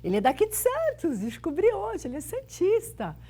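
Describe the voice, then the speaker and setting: A woman's voice: very high voice, huge pitch swings, normal speed, warm tone. A woman hosts a talk show, chatting and interviewing in an informal, rambling way with familiar forms of address.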